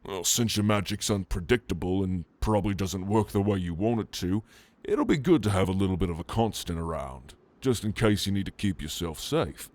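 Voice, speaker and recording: A man playing a flirtatious, protective orc in an intimate ASMR roleplay, with a teasing, casual and gentlemanly manner. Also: deep voice, expressive, a little fast, no echo. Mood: encouraging, casual. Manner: teasing, intimate, casual drawl with soft chuckles. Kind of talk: ASMR roleplay addressed to the listener.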